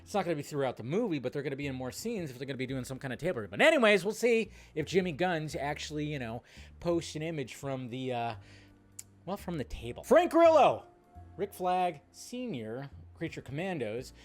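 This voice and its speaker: A man's voice: a little high, sing-song, fairly quick. Man, emphatic movie commentary over music. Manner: emphatic, rambling, slangy. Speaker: host of a film news channel. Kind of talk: casual opinionated fan commentary. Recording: music behind the voice.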